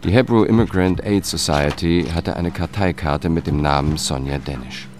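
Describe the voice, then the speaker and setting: A male actor plays a dogged investigator with a low voice, telling his case in a crime radio play. He is dry and sober, in short factual sentences. Music sits clearly behind him. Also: moderate pace, close to an everyday voice. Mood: sober, probing. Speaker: protagonist and first-person narrator. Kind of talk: first-person crime radio drama narration.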